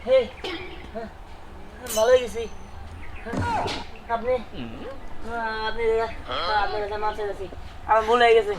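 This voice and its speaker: A man's voice: high-pitched, fairly even intonation, a little slow, long pauses, noisy background. A man playing a cowed fellow who begs forgiveness with repeated apologies in an amateur fairy-tale comedy skit. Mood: pleading. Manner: pleading, repetitive, apologetic. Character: cowed insolent fellow begging a magician's forgiveness.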